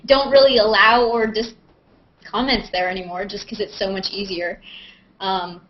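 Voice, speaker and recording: A woman chatting casually on a livestream, rambling and self-mocking as she thinks aloud while answering questions. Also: medium pitch. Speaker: actress and vlogger hosting a live Q&A. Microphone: video call on a computer. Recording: dull lo-fi webcam audio.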